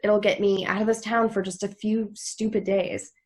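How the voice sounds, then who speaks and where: A woman's voice: mid-range voice, flat delivery, moderate pace, close to an everyday voice. A woman reading the part of a bold, restless young woman, frank and sarcastic, in a TV drama table read. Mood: eager, persuasive.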